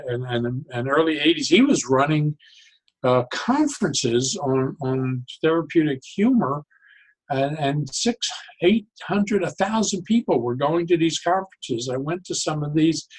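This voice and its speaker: An older man with a somewhat high voice speaks as a guest in a reflective interview. He is deliberate and halting, often doubling back to restart a phrase as he explains his thinking. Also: a little mellow, rough recording. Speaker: interview guest, psychologist and humor educator.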